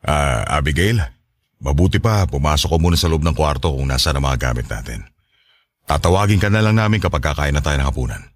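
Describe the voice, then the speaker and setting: Very deep Filipino father. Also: lively intonation, fairly quick, no room sound, studio quality. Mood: gentle, uneasy. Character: returned father, placating, gentle with his young daughter. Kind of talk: Filipino radio drama acting.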